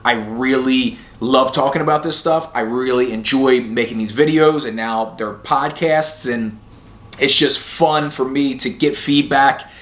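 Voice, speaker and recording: A middle-aged man speaks in an ordinary mid-pitched voice with noticeable room echo around it. He talks casually and candidly, like a podcast host sharing personal experience to motivate listeners. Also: measured pace, a bit bright. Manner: conversational, candid. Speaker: host of a sobriety podcast and video channel. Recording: roomy echo.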